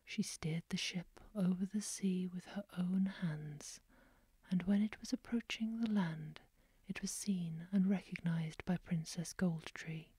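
A woman reads an old fairy tale entirely in a slow, soft whisper, close to the microphone. Her tone is dark and mellow, breathy, and the sound is dry. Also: on the low side, no echo. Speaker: storyteller.

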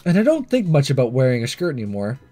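A man's voice: medium pitch, huge pitch swings, fast, dark tone, soft background music. A man voicing a confident, casual teenage boy disguised as a schoolgirl, narrating a visual novel. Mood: matter-of-fact. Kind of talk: first-person visual novel narration and dialogue.

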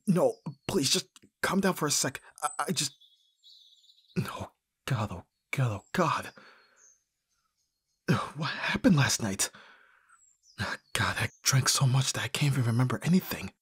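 A man acting an awkward, flustered young best friend in an ASMR roleplay, with a breathy, husky voice and hesitant, stammering delivery. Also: mid-range voice, animated, unhurried, long pauses, a bit bright, no room sound, exaggerated voice. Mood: panicked. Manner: hesitant, stammering, often dropping to whispers.

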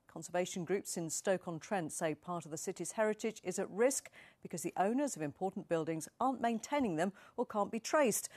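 A woman presents the news in a mid-pitched voice. She reads from a script in a dry studio with no room sound. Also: darkish tone, dry recording.

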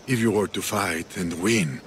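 An actor playing a weary, grand arena master in a video game, speaking in a hushed, brooding, theatrical way. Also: male voice, low-pitched, a little slow, slight background noise. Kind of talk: video game cutscene acting.

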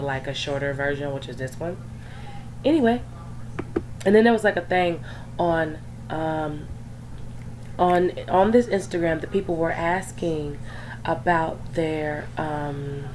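A young woman with a voice worn hoarse from sickness, sitting lower in pitch than most. She rambles casually, full of fillers and side remarks. A faint hum of background noise sits under her home recording. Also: darkish tone. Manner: chatty and rambling, many fillers and asides. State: hoarse from illness. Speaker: gaming YouTuber. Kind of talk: casual screen-recorded vlog chat.

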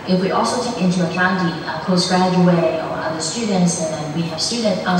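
A woman's voice: on the low side, background noise. A woman with a Hong Kong accent, a native Cantonese speaker, giving an informal admissions presentation to parents in a classroom, hesitant with many ums and restarts. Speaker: university admissions guest speaker. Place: classroom with large screen. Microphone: far camera. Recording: echoey room from far off.

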